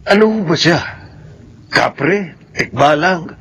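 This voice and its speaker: A man's voice: a little high, animated, takes it slowly, a bit bright, husky, heavily acted. An actor playing an anxious, respectful father in a radio drama. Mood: curious, uneasy. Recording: dull, band-limited radio drama sound.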